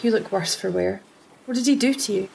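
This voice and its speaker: A woman acting in a fan audio drama as a brisk, protective, matter-of-fact member of a military unit, with some background noise. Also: medium pitch, vocal fry, a little mellow, rough recording. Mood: concerned.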